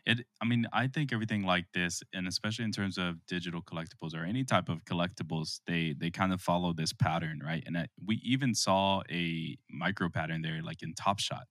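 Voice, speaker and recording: Male podcast host, deep register, very dry recording, conversational interviewing. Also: fairly even intonation, fairly quick, hardly any pauses, a bit bright, no echo. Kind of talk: casual podcast interview chat.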